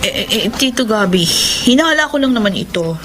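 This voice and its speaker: A woman's voice: fairly high pitch, expressive, a little fast, noisy background, close to an everyday voice. An actress playing a suspicious, hurt wife in a melodramatic Tagalog radio drama, speaking her thoughts aloud. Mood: worried, suspicious. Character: distraught betrayed wife, suspicious then furious.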